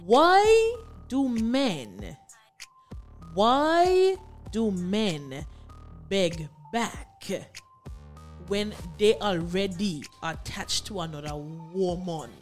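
A woman co-hosting a radio talk show, posing drawn-out, emphatic questions in a teasing way. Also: mid-range voice, animated, soft background music.

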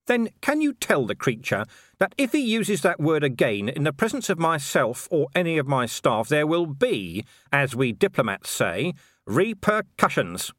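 A man's voice: fairly high pitch, sing-song, normal speed, fairly bright tone, no echo, clean studio sound, voice pushed up. A man voicing a stubborn, sardonic diplomat with pointed, mock-polite delivery in an audiobook. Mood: menacing politeness. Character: dry, sardonic, stubborn male human diplomat.

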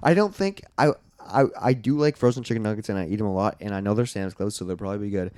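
A man's voice: lowish voice, quick pace, a little mellow, dry recording. A young man co-hosting a podcast in casual chat, voice scratchy and close to giving out, rambling and swearing a lot. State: scratchy, near losing his voice. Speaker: podcast co-host.

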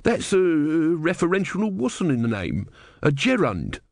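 An elderly storybook bore, played by a male narrator, pedantic and opinionated. He drones on unstoppably in a raised pitch, recorded close and dry. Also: wildly swooping pitch, unhurried, creaky, dry recording, heavily acted. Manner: boring, unstoppable droning.

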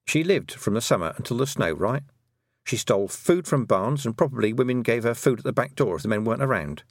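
A shrewd witch woman from a fantasy audiobook, played by a male narrator. She is brisk and dry-witted, firing off knowing questions and remarks with a lively lilt. The recording is close and dry. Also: mid-range voice, no echo, pitched above the performer's own voice. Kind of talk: audiobook character dialogue.

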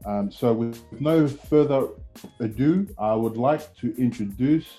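Dark-voiced male podcast host. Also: medium pitch, unhurried, soft background music. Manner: hesitant, many fillers and restarts, warm encouragement. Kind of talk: interview hosting.

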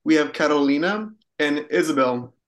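A man co-hosting a podcast, explaining points in a quick, upbeat, casual way. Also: fairly high pitch, animated. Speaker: podcast co-host.

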